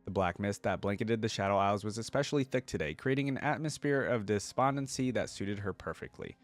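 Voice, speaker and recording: A man's voice: lowish voice, brisk, soft background music. A man reading a story aloud as a video host and reacting to it with informal, casual commentary.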